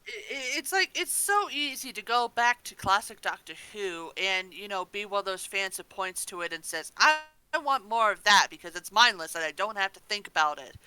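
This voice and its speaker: A woman's voice: mid-range voice, animated, normal speed, hardly any pauses, bright, forceful tone, clear, resonant tone, no echo, poor audio. A woman co-hosting a podcast in casual discussion, emphatic and opinionated, prone to ranting and swearing. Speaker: podcast co-host.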